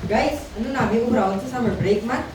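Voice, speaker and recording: A young woman plays a bossy student leader in an amateur radio drama. The voice is somewhat high, picked up from afar in a reverberant, noisy hall. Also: moderate pace, lightly acted. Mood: brisk, eager. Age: teenager or young adult. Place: school audio-visual room. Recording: very echoey hall, distant mic, noise.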